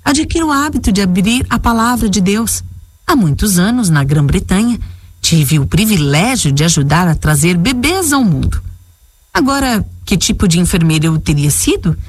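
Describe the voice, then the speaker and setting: A woman's voice: medium pitch, lively intonation, normal speed, husky, dry recording. A woman missionary giving a recorded devotional message in an earnest, exhorting way.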